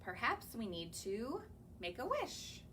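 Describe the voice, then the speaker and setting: Slow, sing-song woman reading to kids. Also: fairly high pitch, huge pitch swings, bright, forceful tone, a little hiss or hum. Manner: sing-song, slow, coaxing, asks the children questions. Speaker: children's librarian. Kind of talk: reading picture books aloud to young children. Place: library or home, recorded on camera.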